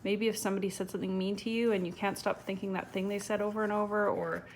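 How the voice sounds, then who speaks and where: A woman's voice: mid-range voice, level tone, fast talker. Chatty woman reading to children, noisy recording. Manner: conversational, asks the listener direct questions. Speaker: storyteller reading a picture book to children. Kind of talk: casual read-aloud with chatty asides to young viewers.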